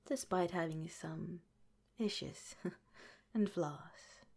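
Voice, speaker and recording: A woman plays a kindly head scientist who whispers every word, low and unhurried. Her tone is mellow and tender, soothing a listener toward sleep in an audio roleplay. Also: husky, full character voice. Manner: soft, tender, reassuring. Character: gentle caring female head scientist, middle-aged, soothing. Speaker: institute director in an audio roleplay. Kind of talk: ASMR roleplay.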